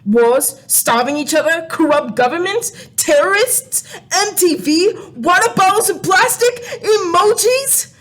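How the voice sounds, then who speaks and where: High-voiced sassy alien crayon, played by a woman; snide, scolding, comic radio play. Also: expressive, measured pace. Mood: scornful. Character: sassy, mocking alien crayon renegade visiting Earth. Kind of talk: comedic radio play acting.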